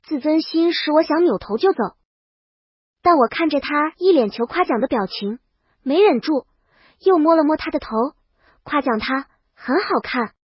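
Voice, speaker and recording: Woman, story narrator, high pitch, scripted audiobook reading, close dry sound. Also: animated, moderate pace, dry recording, highs cut off.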